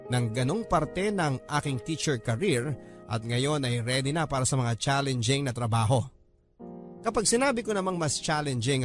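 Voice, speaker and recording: A man, a radio drama host reading out a listener's letter in first-person narration. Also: medium pitch. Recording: prominent music bed under narration.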